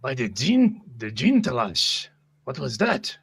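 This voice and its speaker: A man's voice: medium pitch, huge pitch swings, slow, gravelly, husky. A man playing a tabletop game, describing his character's actions and speaking in character. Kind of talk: in-character roleplay and action narration.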